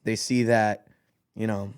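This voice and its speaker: A young man from Greece, a guest musician chatting casually on a podcast, animated and full of "like" and "bro". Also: fairly low pitch, slow pace, clean tone. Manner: animated, laughing, lots of "like" and "bro". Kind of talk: casual storytelling chat. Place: podcast studio.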